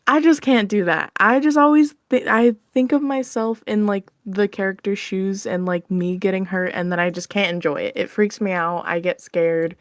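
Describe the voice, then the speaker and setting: A woman's voice: medium pitch, steady tone, moderate pace, few pauses, no echo, studio quality. A woman chatting casually as an interview guest on an online call, candid and self-deprecating in how she talks. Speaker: interview guest, audio roleplay creator. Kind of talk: casual chat.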